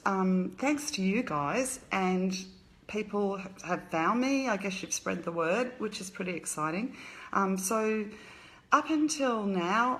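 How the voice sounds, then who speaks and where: A woman's voice: mid-range voice, fairly bright tone, poor audio. Chatty Australian woman in her fifties. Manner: chatty, rambling, greets viewers and laughs often. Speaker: author hosting a live stream. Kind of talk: casual live stream chat. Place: home at night.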